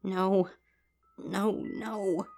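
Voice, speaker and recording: A woman's voice: medium pitch, steady tone, extremely slow, vocal fry, warm tone. Woman playing a guilt-stricken, anguished young pony filly. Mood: panicked. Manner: stammering, pleading. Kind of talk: dramatized fan fiction audio reading. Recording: music under the voice.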